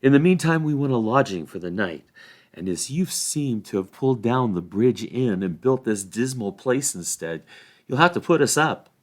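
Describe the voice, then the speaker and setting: A man's voice: mid-range voice, animated, normal speed, pitched above the performer's own voice, lightly acted. A man voicing a bold, indignant young hobbit warrior in a storybook reading. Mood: demanding. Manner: assertive, scolding.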